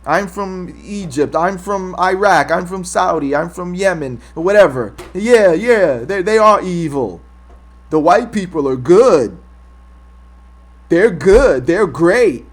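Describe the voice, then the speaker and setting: Man doing a sneering caricature of a woman eager to please, singsong lilt, slightly raised pitch, drawn-out words. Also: animated, full character voice. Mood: mocking.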